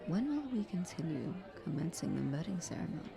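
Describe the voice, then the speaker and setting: Woman acting haughty scheming queen, lowish voice, murmuring crowd. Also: big pitch swings, brisk, gravelly, music in the background. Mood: flattering, eager. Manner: haughty, ornate formal courtly speech. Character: visiting queen, scheming, flattering, condescending royal negotiator. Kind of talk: audio roleplay acting.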